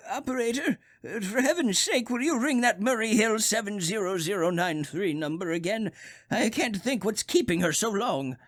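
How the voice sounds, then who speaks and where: An actor playing a crotchety, nervous, bedridden invalid in a radio thriller, querulous and stammering, with a husky, strained voice. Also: mid-range voice, lively intonation, moderate pace, natural voice. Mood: agitated.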